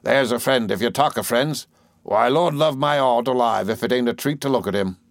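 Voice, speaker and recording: A man's voice: medium pitch, moderate pace, vocal fry, no echo, lightly acted. Man acting a hearty rustic old fisherman. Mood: admiring. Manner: exclamatory, admiring, folksy. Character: hearty, fond, rustic older English fisherman.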